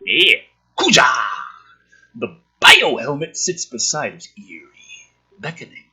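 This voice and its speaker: Animated, sharp-edged husky man ranting. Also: fairly high pitch, slow pace. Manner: sarcastic, exaggerated dramatic delivery when reading; rambling, profane. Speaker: host reading and commenting on a film script draft. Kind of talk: casual sweary commentary mixed with dramatic script read-aloud.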